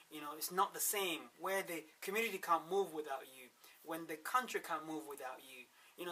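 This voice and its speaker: A man with a Ugandan accent giving an emphatic motivational pitch as a workshop speaker, with rhetorical turns and repeated words. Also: pushed and bright, far from the mic, poor audio. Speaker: wealth-creation workshop speaker. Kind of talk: motivational sales pitch to camera.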